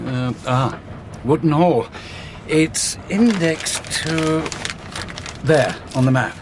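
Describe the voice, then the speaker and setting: An actor playing a confident, opinionated Victorian police inspector in a radio drama, humming and then pronouncing firmly. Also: male voice, fairly high pitch, slow pace. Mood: businesslike, assured. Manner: hesitant hums, assertive pronouncements. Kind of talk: period radio drama acting. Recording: clear background noise.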